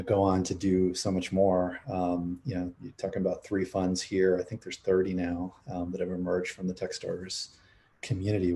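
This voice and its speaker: A man introducing himself on an online panel, speaking in a relaxed, casual way. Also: sits low, vocal fry. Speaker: co-founder and managing partner. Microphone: video call.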